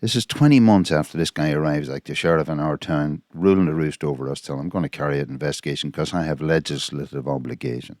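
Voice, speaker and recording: A man's voice: extremely low pitch, quick pace, few pauses, a little mellow, dry recording, studio quality. An Irish man giving an informal spoken analysis of a recording, indignant, emphatic and repeating his points. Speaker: commentator analysing a recorded phone call.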